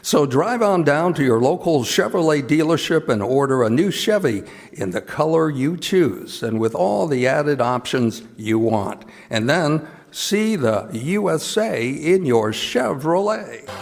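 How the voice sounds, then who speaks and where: A man's voice: on the high side, sing-song, a little slow, vocal fry, hardly any pauses. An American man reading scripted announcements and sponsor messages in the style of an old-time radio announcer, live in a theater. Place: theater stage in a college. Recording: live theater hall, long reverb, music.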